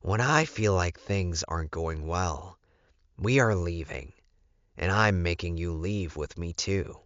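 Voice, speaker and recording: A man's voice: sits low, takes it slowly, a bit bright, no echo, dull audio. A man voicing a caring, worried female wolf spirit companion in an audiobook. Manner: caring, protective.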